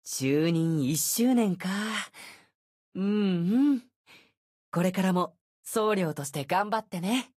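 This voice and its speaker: A man's voice: high voice, animated, takes it slowly, darkish tone, no room sound, clean studio sound, heavily acted. A man voicing an easygoing, unfazed ancient sword spirit in youthful male form for a game, with languid, nonchalant phrasing. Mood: mildly encouraging. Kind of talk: Japanese game character voice acting.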